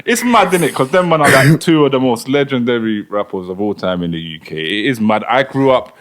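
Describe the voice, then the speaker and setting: A man's voice: medium pitch, slight background noise. A man co-hosting a podcast, telling a story in casual chat full of slang. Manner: fast, animated, slangy storytelling. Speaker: podcast co-host.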